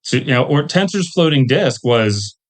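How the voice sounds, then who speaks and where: A middle-aged man with an average-pitched voice talks as a seasoned guest in a relaxed interview. He rambles through details from memory, qualifying them and adding asides. The delivery is conversational and unscripted. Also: moderate pace. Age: middle-aged or older. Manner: discursive, recalling details with hedges and asides. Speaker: podcast guest, veteran game designer. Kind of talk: casual interview conversation about tabletop gaming.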